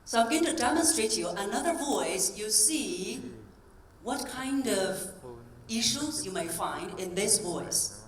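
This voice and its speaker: A woman's voice: mid-range voice, takes it slowly. Woman voice coach in an echoey hall. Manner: energetic, coaxing, drills the audience. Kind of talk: keynote talk with audience exercises. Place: large conference hall on stage. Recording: roomy hall, distant mic, faint ambience.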